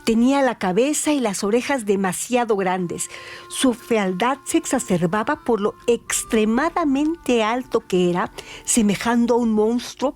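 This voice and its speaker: Female narrator for a radio story, highish voice, theatrical and deliberate, soft music bed.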